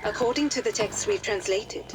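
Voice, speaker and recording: An actress playing a composed upper-class British lady who gives formal, measured briefings. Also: medium pitch, music in the background, lightly acted. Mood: explaining calmly. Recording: clear ambient noise behind.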